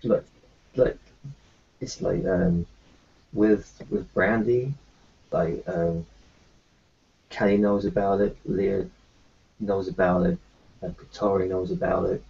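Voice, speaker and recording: Gravelly man, halting reassurance. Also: low-pitched, steady tone, mellow, muffled recording. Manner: halting, reassuring, many restarts. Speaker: tabletop roleplayer. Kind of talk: in-character roleplay chat.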